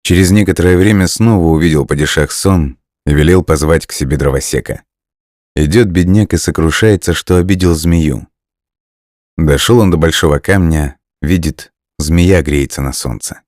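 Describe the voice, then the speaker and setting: A man's voice: rumbling low, normal speed, a little mellow, no room sound, studio quality. A man reading a folk tale as scripted storybook narration.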